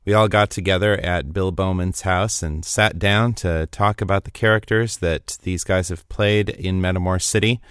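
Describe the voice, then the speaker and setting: Male podcast host, lower register, casual and conversational. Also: fairly even intonation, normal speed, few pauses, a bit bright, smooth. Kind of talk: scripted introduction and casual round-table chat.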